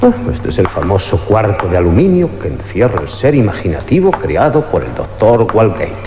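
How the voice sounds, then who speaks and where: An actor playing a stern, skeptical police inspector in a formal, theatrical radio drama style. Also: male voice, lowish voice, sing-song, normal speed, slight background noise. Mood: puzzled musing. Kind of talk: old radio drama acting. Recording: dull radio recording under loud music.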